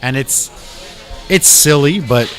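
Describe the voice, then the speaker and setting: Chatty Canadian-raised man hosting. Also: mid-range voice. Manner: animated, swears casually, lots of quick "okay, okay" agreement. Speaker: host. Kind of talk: casual chat.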